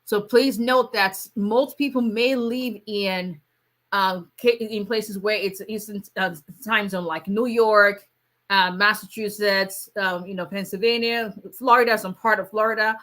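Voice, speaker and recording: Bright-voiced woman attorney. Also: medium pitch, fairly even intonation, unhurried. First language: Yoruba. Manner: conversational, many fillers, reads out viewer questions. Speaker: immigration attorney. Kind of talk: live Q&A stream.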